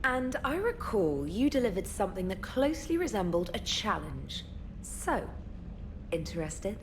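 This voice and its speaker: Young female voice, bookish starship specialist, lively swooping intonation, witty, teasing, articulate, video game, noisy ambience. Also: mid-range voice, a little slow. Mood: friendly, teasing. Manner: articulate, wry. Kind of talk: dramatic video game acting. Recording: background noise and ambience.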